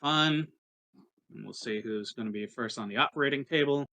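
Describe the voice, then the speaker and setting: A man's voice: mid-range voice, normal speed, gravelly, pushed and bright. A man playing a tabletop game, chatting casually and explaining things bluntly with some swearing. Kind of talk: casual game-session chat.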